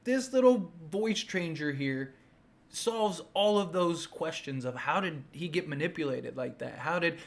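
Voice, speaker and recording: A man's voice: on the high side, lively intonation, measured pace, creaky. A man giving casual, unscripted opinion commentary as a fan host, enthusiastic and emphatic, rambling and circling back on his points.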